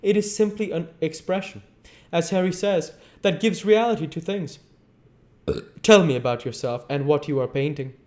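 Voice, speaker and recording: Guy doing a spoiled young gentleman, way up high, haughty and flippant, old novel vibe. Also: moderate pace, lightly acted. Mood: bored, flippant. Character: vain, callous young aristocratic aesthete, bored and petulant.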